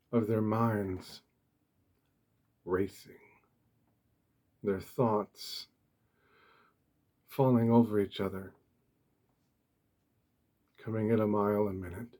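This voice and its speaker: Male ASMR host, soft and gentle, mellow and breathy, unhurried deliberate delivery for guided meditation. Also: fairly low pitch. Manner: gentle, deliberate, short phrases with pauses.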